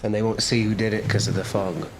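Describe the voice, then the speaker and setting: A man's voice: on the low side, little pitch movement, fast, slight background noise. A man chatting casually and roleplaying as a player in a tabletop fantasy game. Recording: music clearly behind the voice. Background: plucked guitar music.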